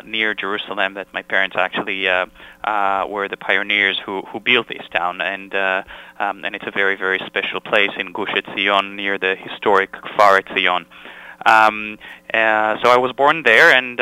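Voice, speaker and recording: A man's voice: fairly low pitch, bright, forceful tone, smooth, dry recording, low-quality recording. A man with an Israeli accent, a cantor and music director, telling his story in a radio interview with some um fillers.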